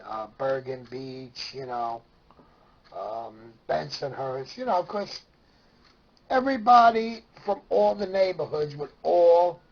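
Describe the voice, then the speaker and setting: A middle-aged man with a Brooklyn New York accent and a husky, gravelly voice, casually reminiscing in a plainspoken, meandering way. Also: fairly high pitch, lo-fi sound. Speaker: storyteller sharing memories of CB radio.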